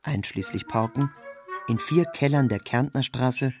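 A man's voice: medium pitch, unhurried, over music. A man reading a scripted literary narration in a sober, factual manner.

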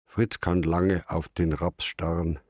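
An elderly, stubborn father in a radio drama. He is laconic, speaking in clipped phrases at a slow pace, with a dark, mellow voice recorded close and dry. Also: low voice, flat delivery, no echo. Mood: brooding. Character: stubborn elderly father, terse former fisherman. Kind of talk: radio drama narration and dialogue.

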